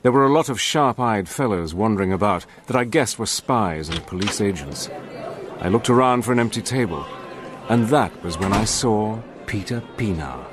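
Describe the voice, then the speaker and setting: An actor playing a cool, determined wartime adventurer, telling his own story in measured, dramatic narration. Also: fairly low pitch, expressive, a crowd in the background, background noise, natural voice. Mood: measured, reflective. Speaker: narrator and lead character. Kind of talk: dramatic first-person scripted narration.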